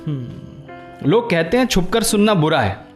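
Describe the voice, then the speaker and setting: A man's voice: high voice, big pitch swings, a little slow, faint music behind. An actor playing a vain, teasing, lovesick young man in a radio play, flamboyant and self-satisfied, dropping in English phrases. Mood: breezy, teasing. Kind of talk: Hindi radio play acting.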